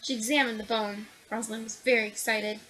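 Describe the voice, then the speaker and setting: Woman storyteller, picture-book read-aloud, bright, higher voice, very lively pitch. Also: fairly quick, low-quality recording. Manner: animated, expressive.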